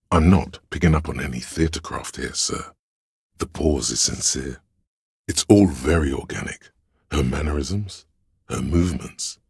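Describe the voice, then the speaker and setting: A theatrical inner voice for a video game, grandiose and scheming like a courtier. The register is extremely deep, with heavy gravel and a husky rasp. The delivery is dramatic, declamatory and archaic. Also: male voice, dry recording, studio quality, full character voice. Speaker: voice actor.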